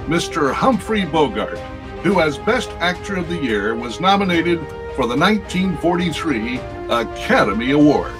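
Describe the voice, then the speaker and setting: A male announcer reads in a formal 1940s radio style. His fairly high voice is grand and declamatory, swinging widely in pitch. Clear music plays behind him.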